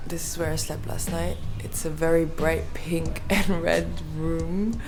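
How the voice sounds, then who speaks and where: A hoarse woman vlogging from a bedroom, annoyed and venting about her trip. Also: low-pitched, steady tone, unhurried, over music. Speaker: travel vlogger. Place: bedroom indoors.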